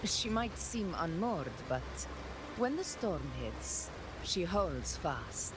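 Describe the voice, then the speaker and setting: A commanding female ruler in a video game. Her delivery is stately and measured, with a wide, lively swing in pitch. Music is very loud behind her. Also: rough recording. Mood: reflective.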